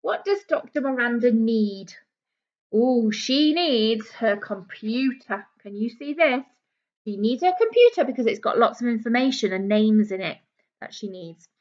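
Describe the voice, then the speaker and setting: A woman's voice: a little high, expressive, unhurried, a little mellow, lo-fi sound. A British woman reading a children's book aloud like a primary teacher, explaining things simply for young listeners. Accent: British English.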